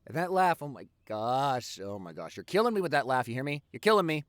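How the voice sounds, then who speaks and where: A man's voice: medium pitch, sing-song, fairly quick, no room sound, clean studio sound, natural voice. A man playing a doting, teasing young boyfriend in an intimate audio roleplay, rambling affectionately and stammering a little. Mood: adoring, gushing.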